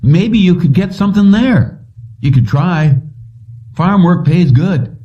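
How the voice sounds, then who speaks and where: Man voicing a high-pitched folksy young waiter. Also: animated, slow, warm tone. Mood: casual, helpful. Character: easygoing young male lunch counter waiter. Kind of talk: audiobook character dialogue. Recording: dull lo-fi, distant from mic.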